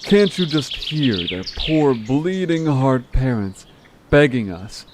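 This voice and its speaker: Slow-drawling, manipulative monster gang boss, acted by a man. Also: medium pitch. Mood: mock aggrieved. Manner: drawling, sarcastic, condescending. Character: manipulative male Fleen gang leader, vengeful, sarcastic monster villain. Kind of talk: scripted audio drama acting. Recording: steady background noise with chirping birds. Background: birdsong, forest ambience.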